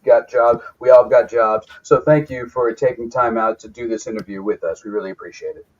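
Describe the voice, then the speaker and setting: A man's voice: medium pitch, fairly even intonation, brisk, muffled recording. A man hosting a casual interview, loose and friendly, thanking his guest and asking questions. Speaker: show host.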